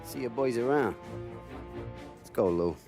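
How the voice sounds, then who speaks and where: An actor playing a blunt, threatening mob thug with slangy speech in a video game cutscene. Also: male voice, mid-range voice, animated, measured pace, over music. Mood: menacing.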